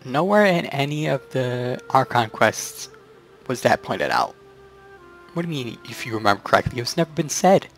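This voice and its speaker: Animated male gamer commentating. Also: mid-range voice, moderate pace, creaky, soft background music. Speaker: gaming streamer. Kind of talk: casual game commentary.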